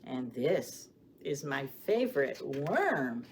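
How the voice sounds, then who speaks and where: A woman reading stories and rhymes aloud for young children as a storytime host, with a sing-song, expressive delivery, often putting questions to the children. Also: extremely low pitch. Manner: addresses children directly with questions and pauses for answers. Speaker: children's librarian hosting storytime. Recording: clearly audible background noise.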